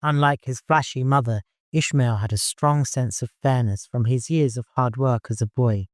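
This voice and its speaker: A man's voice: mid-range voice, moderate pace, no room sound, clean studio sound. A man narrating a literary audiobook from a prepared script.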